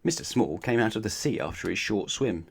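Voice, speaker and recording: Low-pitched man reading a children's storybook expressively. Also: expressive, fairly quick, gravelly, husky. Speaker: storybook narrator. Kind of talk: expressive children's read-aloud narration.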